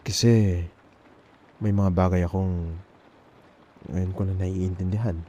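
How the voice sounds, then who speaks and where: Young Filipino male for intimate ASMR roleplay: emotional best friend, halting casual delivery, deep-leaning and very soft, dark timbre. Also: level tone, highs cut off, a little hiss or hum. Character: emotional young man confessing love to his best friend. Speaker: ASMR audio roleplay performer.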